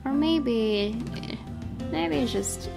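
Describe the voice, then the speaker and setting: A woman's voice: high voice, animated, very slow, vocal fry, over music. A young woman hosting a gaming video, reading story narration aloud and breaking into casual commentary.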